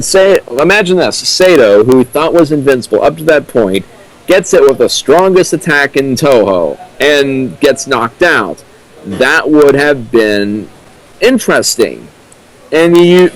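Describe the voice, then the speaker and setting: A man's voice: fairly high pitch, low-quality recording. A man giving casual, critical commentary as a co-commentator, with a dry manner.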